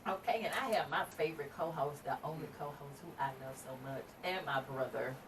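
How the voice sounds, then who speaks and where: Texan lady hosting radio in a little studio, lowish voice, sounds far from the mic, noisy room. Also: brisk. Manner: chatty, laughs often, preachy asides. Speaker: radio show host. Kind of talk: casual radio talk. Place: small studio room with a table of mics.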